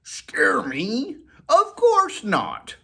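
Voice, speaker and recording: A man voicing Molly, a cheerful female collie, in a high squeaky excited voice while reading a storybook aloud. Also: drawn-out words, slightly rough, full character voice. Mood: chirpy.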